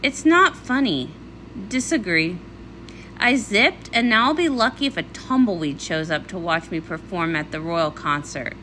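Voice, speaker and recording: A woman's voice: lowish voice, lively intonation, a little slow, a bit bright, a little hiss or hum, voice pushed down, low-quality recording. A woman voicing a young female pony pop star, dramatic, defensive and a little whiny, in a comic read-along. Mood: miffed.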